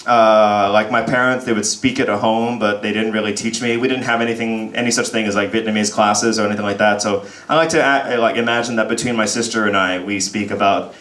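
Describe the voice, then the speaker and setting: A man's voice: on the low side, fairly even intonation, brisk, hardly any pauses, a bit bright. Young man doing stand-up in a pub. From United States (Texas). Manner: chatty, self-deprecating, often breaks into laughter. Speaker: stand-up comedian. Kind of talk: stand-up comedy set. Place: pub comedy stage. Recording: roomy pub sound with faint crowd murmur.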